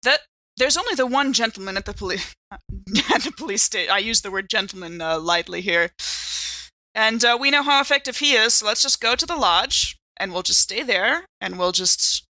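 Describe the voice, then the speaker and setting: A woman's voice: mid-range voice, animated, moderate pace, pushed and bright, no room sound, poor audio. A woman playing a frazzled, hard-drinking woman in a horror drama, rambling, giggly and sardonic.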